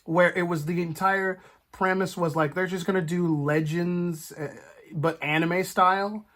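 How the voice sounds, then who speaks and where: A man hosting a casual, animated chat about film and TV, acting out imagined reactions as he goes. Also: high-pitched, a little slow. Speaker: podcast host.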